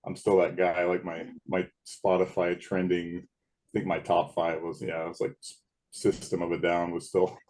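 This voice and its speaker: Very deep booming man. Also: monotone, moderate pace, a little mellow. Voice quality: big booming voice. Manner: laid-back, rambling with restarts. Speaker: guest. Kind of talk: casual chat.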